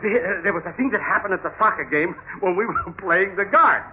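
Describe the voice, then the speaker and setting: An actor in a 1950s radio drama playing an excitable, giggly gossip who tells rambling, animated stories. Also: male voice, sits high, fairly quick, noisy background, lightly acted. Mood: amused. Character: excitable, giggly gossip fondly recalling a clownish friend. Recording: muffled 1950s radio recording.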